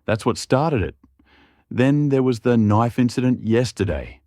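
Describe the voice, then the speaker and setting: A man's voice: mid-range voice, animated, measured pace, mellow, no echo, studio quality, close to an everyday voice. A man voicing a tall, crooked, shrewd man in a fantasy story, guarded, probing and serious. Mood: suspicious.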